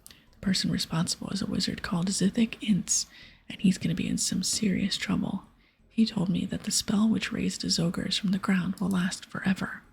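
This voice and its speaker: A woman's voice: medium pitch, fairly even intonation, moderate pace, darkish tone. A stern questing adventurer from a fantasy game, read by a woman. The delivery is firm yet whispered, extremely airy and lightly crackling. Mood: matter-of-fact. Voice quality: whispered, breathy. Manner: firm, accusing.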